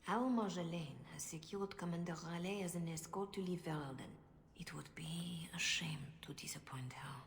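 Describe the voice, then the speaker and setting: A woman playing a grave, principled female cleric in a video game, speaking in a formal, measured, diplomatic way. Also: fairly low pitch, gravelly, a little hiss or hum. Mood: measured, wry. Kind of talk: dramatic video game dialogue.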